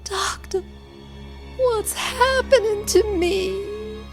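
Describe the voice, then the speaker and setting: A woman's voice: very high voice, extremely slow, creaky, soft background music, full character voice. An actress playing a troubled, frightened young woman under hypnosis in an audio drama, speaking hesitantly. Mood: panicked.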